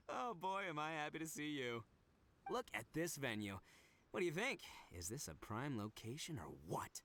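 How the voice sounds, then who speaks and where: A man's voice: on the high side, big pitch swings, moderate pace, fairly bright tone. A man voicing a boastful, big-hearted young oni gang leader in a video game, with bombastic, slangy delivery. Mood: excited.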